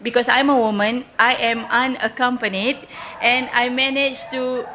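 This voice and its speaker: A woman with a Malaysian accent answering as an interview guest, telling travel stories step by step in halting, unscripted English. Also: fairly high pitch, steady tone, sharp tone, slight background noise.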